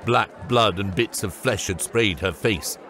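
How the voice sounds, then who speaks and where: A man's voice: on the low side, a little slow, pushed and bright, a little hiss or hum. A man narrating a scripted fantasy audiobook.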